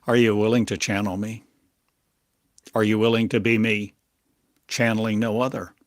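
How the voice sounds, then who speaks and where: A man delivering a channeled prophetic monologue in halting, fragmented, oracular phrases full of coined words. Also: lowish voice, flat delivery, no room sound, studio quality.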